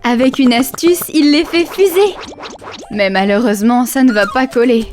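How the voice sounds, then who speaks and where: A woman's voice: high-pitched, moderate pace, darkish tone. A woman narrating a children's cartoon in scripted rhyme, with music behind her.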